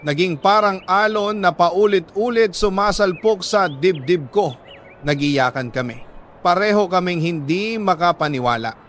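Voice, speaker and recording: A man with a Filipino accent reading a listener's letter as a radio drama host, in a sorrowful, deliberate storytelling manner with dramatic pauses. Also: high-pitched, fairly bright tone, a little hiss or hum.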